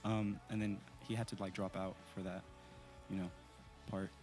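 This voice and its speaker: A low-voiced teenage guy from the East Bay talks loosely about his band, drifting through fillers and half-finished thoughts. His tone stays relaxed and unhurried, with faint music somewhere behind him. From East Bay, California. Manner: relaxed, rambling with many fillers. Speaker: band singer and guitarist. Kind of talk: casual interview chat.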